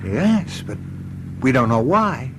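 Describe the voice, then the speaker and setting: An actor playing a bewildered, practical husband in a radio drama, grimly frustrated and resigned. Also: male voice, mid-range voice, big pitch swings, slow, music in the background. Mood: doubtful, resigned. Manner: grim, frustrated. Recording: car engine noise behind the voice.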